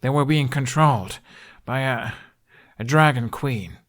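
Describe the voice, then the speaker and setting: A man voicing a defiant, sarcastic young Viking dragon rider in an audiobook, sharp and contemptuous. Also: medium pitch, measured pace, pitched above the performer's own voice, natural voice. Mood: earnest.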